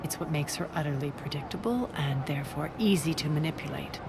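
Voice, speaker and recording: A woman's voice: low voice, brisk, noisy background. A woman playing a ruthless, imperious alien crime queen in a video game, commanding, haughty and contemptuous. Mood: smug, scornful. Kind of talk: cinematic video game acting. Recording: game music behind the voice.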